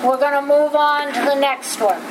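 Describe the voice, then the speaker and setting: A woman's voice: sits high, normal speed. A woman with a Boston accent teaching a class, patiently explaining each step to her students. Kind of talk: classroom lecture. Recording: echoey classroom with steady background noise.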